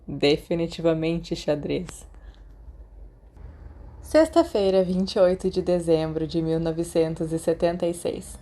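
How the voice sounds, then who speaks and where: A woman's voice: fairly low pitch, warm tone. A woman with a Brazilian accent reading scripted story narration as a podfic narrator.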